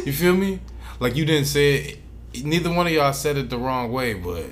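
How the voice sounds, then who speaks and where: A man's voice: fairly high pitch, very animated, measured pace. A man co-hosting a podcast in casual chat, speaking in an informal, slangy, opinionated way. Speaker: podcast co-host. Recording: noticeable background noise.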